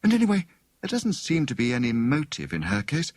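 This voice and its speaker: A man voicing a thoughtful, inquisitive young woman amateur detective in an audiobook story, musing deliberately. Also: mid-range voice, wildly swooping pitch, darkish tone, no echo. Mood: speculative.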